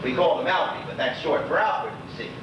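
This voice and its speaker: An actor playing a lonely, chatty old widower in a rambling stage monologue in Bermudian dialect. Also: male voice, on the high side, expressive, brisk, close to an everyday voice. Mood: musing. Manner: rambling, conversational, muttered asides to himself and others. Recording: muffled, echoey distant stage recording with noise.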